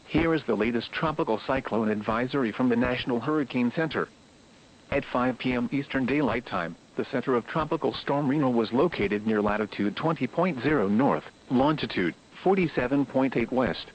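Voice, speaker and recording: A man's voice: mid-range voice, a little hiss or hum. Muffled synthetic male weather radio announcer. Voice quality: synthetic text-to-speech voice. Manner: formal, impersonal. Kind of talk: scripted weather bulletin reading.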